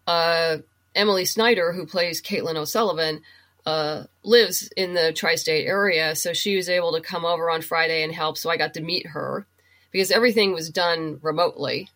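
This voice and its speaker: A woman from the United States with a slightly low voice, speaking as an interview guest. She explains things in an informal, conversational way. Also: a bit bright. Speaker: interview guest, writer and producer. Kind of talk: casual interview chat.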